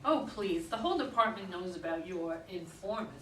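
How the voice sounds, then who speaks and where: A woman's voice: fairly low pitch, lively intonation, normal speed, sharp tone. A woman in a stage play reading, playing a persistent, no-nonsense police detective with firm questioning. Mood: scornful. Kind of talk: stage play read aloud by readers' theater. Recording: echoey room, distant mic, faint noise.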